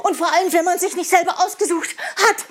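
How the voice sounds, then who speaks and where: An actress playing a harried, broke art worker who thinks aloud in a rambling, self-interrupting spoken-word monologue. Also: female voice, very high voice, fairly even intonation, fast talker, slight background noise. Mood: giddy, bitter. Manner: rambling, self-interrupting, mixes in English announcements.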